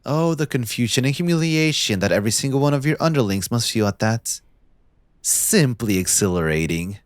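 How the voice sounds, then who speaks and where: A man playing a possessive, smug young mafia heir in a scripted audio roleplay, speaking in a theatrical, condescending, deliberate villain's drawl. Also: mid-range voice, no room sound, studio quality, natural voice. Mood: gloating.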